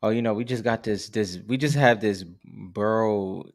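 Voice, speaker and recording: A man's voice: on the low side, normal speed. A man co-hosting a live podcast with laid-back, slangy, colloquial banter.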